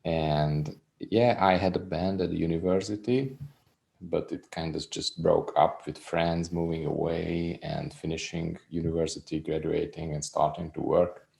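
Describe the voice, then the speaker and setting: A man with a Hungarian accent, a native Hungarian speaker, talking about his music as a guest in a casual interview in a reserved, soft-spoken, unhurried way. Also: deep voice, fairly even intonation, vocal fry.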